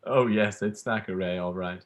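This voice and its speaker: A young performer playing a pedantic male academic in a staged play reading, halting, smugly polite and prone to interrupt himself. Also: sits low, close to an everyday voice. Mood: smug, distracted. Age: young adult. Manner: halting, donnish, breaking off with apologies.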